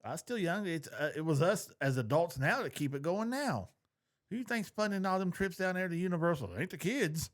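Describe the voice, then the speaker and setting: A man's voice: high voice, big pitch swings, a little fast. A middle-aged man chatting casually as a podcast co-host, folksy, opinionated and free with swearing. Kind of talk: casual chat.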